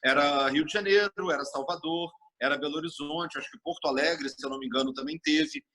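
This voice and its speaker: A middle-aged man from Brasília, a TV journalist telling stories in a casual interview, expansive and animated, acting out quoted speech. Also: fairly high pitch, fairly quick, vocal fry. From Brasília, Brazil.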